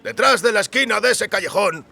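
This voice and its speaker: A man's voice: sits high, lively intonation, fairly quick, vocal fry, bright, forceful tone, pitched above the performer's own voice, full character voice. A man voicing a brash, jovial mercenary soldier with a hearty, folksy way of talking in an audiobook. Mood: friendly. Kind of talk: audiobook character acting.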